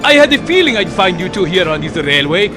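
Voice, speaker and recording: A man's voice: sits high, very animated, a little fast, music in the background. A man voicing a bullying, sneering villain diesel engine in a mocking, taunting manner for a cartoon comic dub.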